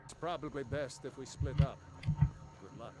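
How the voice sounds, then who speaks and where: An actor playing a calm, earnest male companion in a fantasy video game. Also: medium pitch, unhurried, close to an everyday voice. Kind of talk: scripted video game acting.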